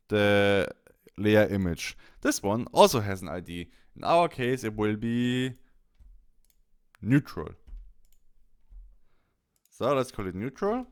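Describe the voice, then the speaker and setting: A man presenting a software tutorial, explaining things step by step in an instructional way. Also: fairly low pitch, clear, resonant tone, dry recording, studio quality. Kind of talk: step-by-step screen tutorial narration.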